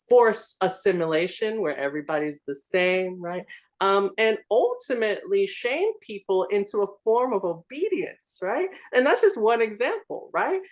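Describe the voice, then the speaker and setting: A man holding forth in a church discussion group in an animated, lecturing way, often checking in with "right?". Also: a little high.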